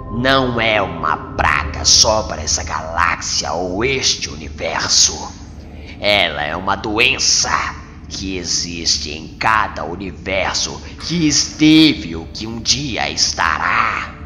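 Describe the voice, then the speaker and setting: A man's voice: deep voice, heavily acted. A man voicing a cruel, arrogant alien overlord in a motion comic dub, pompous and menacing in his scorn for humanity. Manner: pompous, slow, menacing delivery. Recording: long cavernous reverb under loud music.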